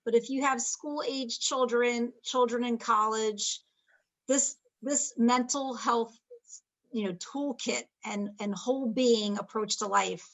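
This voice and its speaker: A woman's voice: on the high side, steady tone, slow, a bit bright, poor audio. A woman on a video call meeting, enthusiastic and stumbling over restarts. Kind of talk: casual meeting chat. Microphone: computer mic on a video call.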